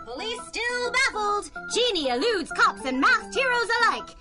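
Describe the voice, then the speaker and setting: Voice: very high voice, lively intonation, normal speed, over loud music. A performer acting a young newsboy calling out headlines in a 1930s-style radio drama. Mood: brash hawking. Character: shrill young newsboy hawking papers in the street.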